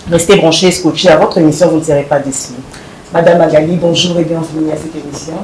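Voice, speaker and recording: A woman's voice: deep voice, fairly even intonation, quick pace, a little mellow, roomy sound, a little hiss or hum, rough recording. A woman hosting a talk show, opening formally and asking questions. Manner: formal welcoming announcer tone. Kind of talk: interview hosting.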